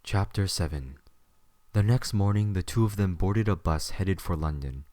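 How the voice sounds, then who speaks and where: A man's voice: low voice, normal speed, warm tone, no room sound. A man reading scripted third-person story narration as the narrator of an audio drama.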